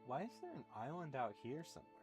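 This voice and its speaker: A man's voice: mid-range voice, huge pitch swings, quick pace, music in the background. A man giving casual, rambling gameplay commentary with bemused reactions as he streams a video game.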